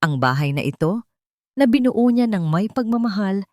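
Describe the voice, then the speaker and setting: A woman narrating a dramatic love story in a measured, solemn way. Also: mid-range voice, animated, a little mellow, dry recording, clean studio sound.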